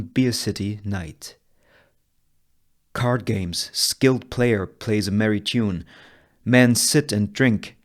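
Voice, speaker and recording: A man reading scene directions as scripted narration for a Western table read. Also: lowish voice.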